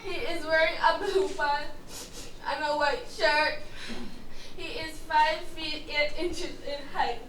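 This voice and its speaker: A woman's voice: sits high, fairly even intonation, slow, a bit bright, a little hiss or hum. A woman acting a weary, pleading battered wife and mother with a Belizean accent in an amateur dramatization. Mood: shaken, tearful.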